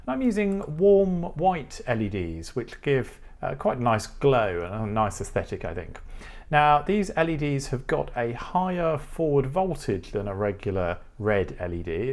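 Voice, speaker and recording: A man's voice: mid-range voice, sing-song, moderate pace. A man with a British accent presenting a tutorial in a methodical, explanatory way.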